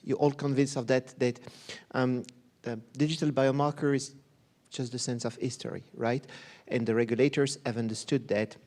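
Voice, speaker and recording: A man from Belgium, a professor giving a candid, lively conference talk. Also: medium pitch. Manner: candid, self-deprecating, lively presenter.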